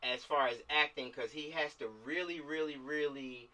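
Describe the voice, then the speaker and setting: A man's voice: on the high side, normal speed, sharp tone. A man speaking casually and emphatically on a video call, directing a group discussion with slang and repeated words for stress. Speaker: film director leading a table read. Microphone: video call microphone. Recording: distant video-call audio.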